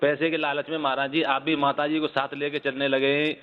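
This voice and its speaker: A man's voice: on the high side, little pitch movement, fairly quick. A man arguing on a phone call, pressing reproaches persistently while keeping respectful forms of address. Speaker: caller. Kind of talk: phone argument. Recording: muffled phone call recording with reverb.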